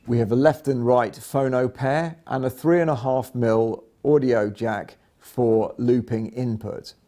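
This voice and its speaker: Mellow-voiced man presenting a product. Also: medium pitch. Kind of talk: instructional product demonstration.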